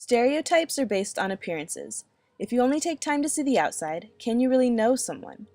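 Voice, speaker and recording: A young adult woman giving earnest, measured scripted narration. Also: fairly high pitch, sing-song, darkish tone, faint music behind.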